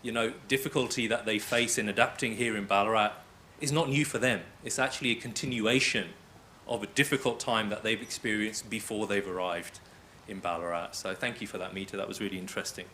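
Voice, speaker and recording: A man moderating a panel, his voice bright and forceful but pitched somewhat low. He affirms each speaker and sums up their points, with a faint hiss behind him. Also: fairly even intonation, brisk. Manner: warm, affirming and summarizing.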